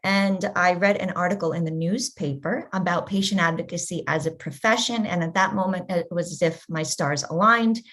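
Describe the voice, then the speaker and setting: A woman in her forties, a patient advocate, telling her personal story in an earnest, measured way on a panel discussion. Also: fairly low pitch, fairly bright tone.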